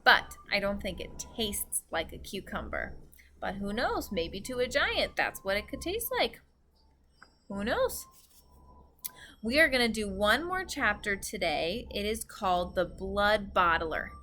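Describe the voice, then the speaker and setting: A woman reading a children's story aloud as an expressive storyteller. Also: medium pitch, unhurried. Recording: faint background noise.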